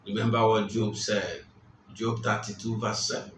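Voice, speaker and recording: A man preaching a sermon, speaking slowly and with emphasis, repeating his key phrases. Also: lowish voice, level tone, slight background noise. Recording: roomy, distant mic, faint ambience.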